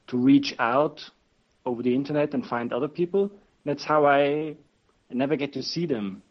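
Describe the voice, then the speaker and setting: A man raised in Switzerland and speaking in a language other than his native German gives a reflective, lengthy account as an interview guest. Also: mid-range voice. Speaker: interview guest, game master. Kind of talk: casual conversation. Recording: muffled lo-fi audio.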